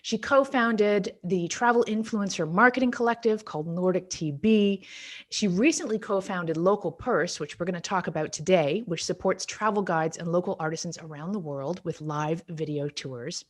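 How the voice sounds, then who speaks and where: A woman's voice: fairly low pitch, normal speed. Enthusiastic woman hosting an interview. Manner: enthusiastic, reads guest introduction. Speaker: interviewer host. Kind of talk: video interview.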